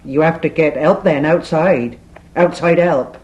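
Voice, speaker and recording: An actress playing a stern, suspicious country innkeeper, blunt and formal, in a farce. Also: female voice, low-pitched, measured pace, darkish tone, highs cut off. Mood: strict, suspicious. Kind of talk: theatrical farce acting.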